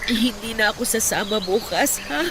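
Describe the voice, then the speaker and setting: A woman's voice: medium pitch, normal speed, heavy background noise, close to an everyday voice. A woman playing a loving, emotional Filipino mother in a radio drama, speaking tenderly and with heartfelt feeling. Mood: tender, nostalgic. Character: loving, emotional elderly mother reunited with her lost son. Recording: loud music under the voice.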